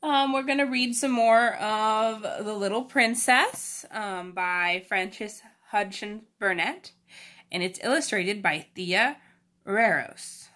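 A woman reads aloud as a storyteller for children. Her delivery is informal and expressive, and she mixes the narration with simple, chatty explanations. Her voice sits at a medium pitch. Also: slow pace. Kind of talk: read-aloud storytelling with casual explanatory asides.

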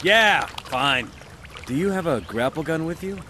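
A man's voice: on the high side, lively intonation, unhurried, a little hiss or hum. A man voicing an eager, cheeky young policeman with an American accent in a video game. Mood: breezy, earnest. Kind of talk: animated video game character acting. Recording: loud music behind.

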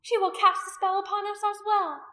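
Shrill, zealous pagan high priestess. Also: female voice, fairly even intonation, normal speed, fairly bright tone, echoey room. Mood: alarmed, vengeful. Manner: shrill, imperious, accusing declamation. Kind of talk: dramatic audiobook character acting.

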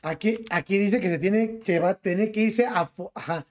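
A man's voice: high-pitched, moderate pace, darkish tone. A young man with a Mexican accent, streaming from his bedroom through a gaming headset and ranting as he reacts to clips, piling slang onto every phrase. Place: small bedroom.

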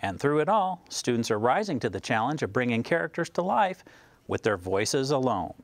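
A man's voice: mid-range voice, big pitch swings, moderate pace, no echo, clean studio sound. A middle-aged American man presenting a scripted news feature.

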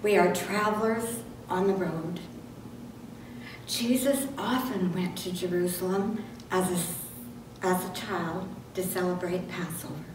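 A woman's voice: lowish voice, fairly even intonation, measured pace. Older woman reading in very echoey church. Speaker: lay reader in church service. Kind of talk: scripted liturgy and scripture reading. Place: medium-sized church sanctuary, indoors. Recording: very echoey church, distant mic, noisy.

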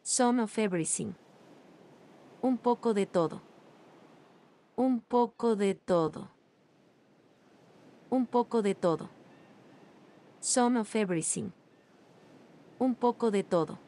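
Very slow-speaking woman reading drills. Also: a little high, mellow. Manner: reads each phrase slowly with long pauses. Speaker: language teacher. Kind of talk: slow repeated phrase drills.

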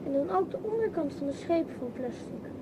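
With noise clearly behind, a woman plays a young child in a radio drama. The voice is high, full of wonder and inquisitive. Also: expressive, brisk. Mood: curious. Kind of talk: Dutch radio play acting. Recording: clear vehicle-like background noise.

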